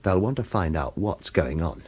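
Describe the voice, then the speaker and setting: An actor playing a wily, world-weary hired killer in a radio thriller, with wry, informal delivery. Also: male voice, deep voice, lively intonation, moderate pace, darkish tone, husky. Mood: scheming, persuasive. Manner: wry, informal, urgent persuasion.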